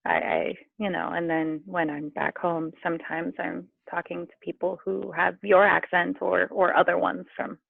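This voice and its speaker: An American woman, a podcast guest, chatting casually in a lively, eager, talkative way. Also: fairly low pitch, normal speed, vocal fry, few pauses, no room sound. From southern US. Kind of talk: casual chat. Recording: tinny phone-like call line.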